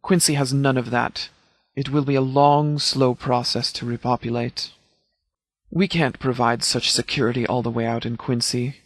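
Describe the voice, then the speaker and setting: An actor playing a stern, principled middle-aged sheriff in a video game, speaking in a formal, grave and deliberate way. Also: male voice, medium pitch, flat delivery, dry recording, close to an everyday voice. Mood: grave, matter-of-fact. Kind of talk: scripted video game character dialogue.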